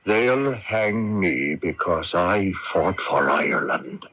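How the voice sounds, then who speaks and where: An actor playing a weary, idealistic imprisoned male patriot in a radio mystery drama, earnest and halting. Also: low voice, expressive, a bit bright, slight background noise, heavily acted. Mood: impassioned, feverish. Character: weary, idealistic imprisoned Irish patriot, resigned yet defiant. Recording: dull lo-fi radio recording.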